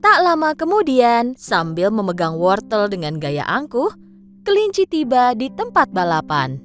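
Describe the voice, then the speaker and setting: High, lively woman storyteller. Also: measured pace. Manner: expressive, sing-song storytelling. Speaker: narrator. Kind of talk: scripted storybook narration for children. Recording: faint music behind. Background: faint background music.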